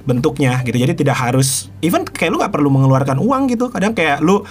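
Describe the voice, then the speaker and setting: A man explaining things in a relaxed chat. He talks at length and acts out little sample compliments along the way. His voice sits a little high for a man. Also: brisk, slightly rough. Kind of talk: casual chat.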